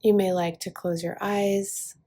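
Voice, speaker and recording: Slow, breathy woman guiding relaxation. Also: medium pitch, level tone, warm tone. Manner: unhurried, soothing, with long pauses between short phrases. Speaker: yoga nidra guide. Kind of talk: guided relaxation meditation, speaking softly to listener.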